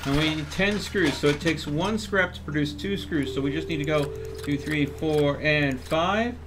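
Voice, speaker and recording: A man's voice: on the high side, normal speed, background noise. A man hosting a livestream, casually talking through his game step by step and chatting with viewers. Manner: thinks aloud step by step.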